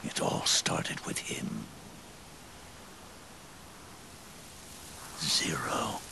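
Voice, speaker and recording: An actor playing an aging, world-weary soldier in a video game cutscene, speaking gravely and deliberately with dramatic pauses. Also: male voice, big pitch swings, in a whisper, full character voice. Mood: grave, reflective. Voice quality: deep, gravelly. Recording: loud music under the voice. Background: loud music.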